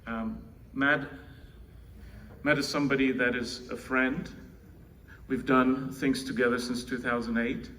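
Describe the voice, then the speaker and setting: Bright-voiced Czech man addressing a conference. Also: mid-range voice, little pitch movement, a little slow. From Czech Republic. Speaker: conference speaker introducing co-founder. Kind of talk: informal introductory speech. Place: indoor conference room. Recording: distant mic, faint noise, room ambience.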